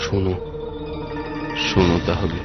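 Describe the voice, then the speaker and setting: A man's voice: low voice, level tone, measured pace. Dull-sounding earnest ghost father played by a man. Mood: grave. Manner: earnest, reassuring. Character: dutiful, secretive ghost of a village father. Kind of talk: cartoon voice acting in Bengali. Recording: dull, band-limited audio under music.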